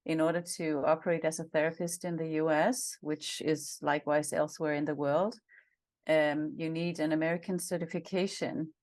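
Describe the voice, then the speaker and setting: A woman, speaking as a coach in a thoughtful, measured way with pauses, on a headset in a small home office. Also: deep voice, little pitch movement, darkish tone. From Denmark. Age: 50s. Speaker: guest, intimacy and relationship coach. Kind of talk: casual video call interview. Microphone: headset on a video call.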